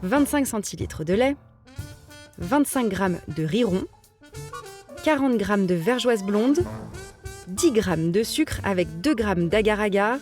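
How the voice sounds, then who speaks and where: A woman reads a scripted voice-over at a slow, measured pace. Each item is set out separately, with light music playing underneath. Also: medium pitch, lively intonation, darkish tone. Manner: measured list reading.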